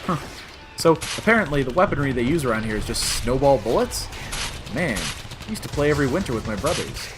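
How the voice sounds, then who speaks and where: A man playing an excitable, bantering time-traveling alien in a comic audio drama, theatrical and mock-pompous. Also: mid-range voice, fast, music in the background, natural voice. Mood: breezy.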